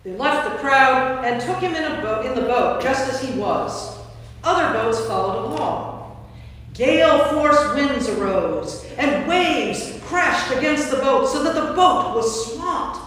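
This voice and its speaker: A woman's voice: sits high, expressive, measured pace, a bit bright, a little hiss or hum. A woman narrating a staged scripture reading in a church, telling the story from a script. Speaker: narrator, priest. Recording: distant mic, very echoey church hall.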